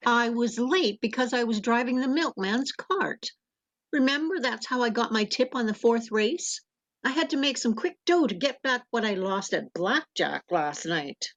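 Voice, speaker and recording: Woman acting a brash, animated young secretary. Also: a little high, normal speed, lo-fi sound. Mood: teasing, brash. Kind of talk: comic old-time radio play acting.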